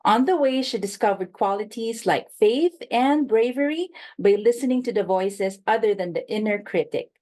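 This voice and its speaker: A woman's voice: medium pitch, lively intonation, moderate pace, hardly any pauses, darkish tone. A woman hosting a book interview show, introducing her guest and asking casual, friendly questions with a few "um" and "like" fillers. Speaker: host.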